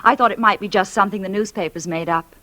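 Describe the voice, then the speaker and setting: A woman's voice: medium pitch, quick pace, pushed and bright, no room sound, lightly acted. An actress playing an effusive, chatty, easily shocked woman friend in a 1940s radio thriller style. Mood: uneasy, prying. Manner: effusive, exclamatory, fussing.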